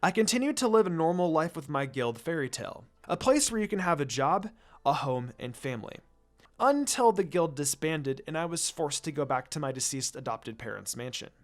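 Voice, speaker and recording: A man's voice: on the high side, big pitch swings, moderate pace. A man voicing an earnest, devoted teenage girl wizard in a story reading, with a sincere, pleading manner. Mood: earnest, confiding.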